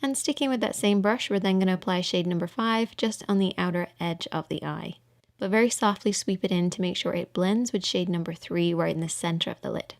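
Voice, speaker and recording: A woman's voice: on the low side, brisk, creaky. Woman narrating a casual makeup tutorial. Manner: informal, step-by-step explaining.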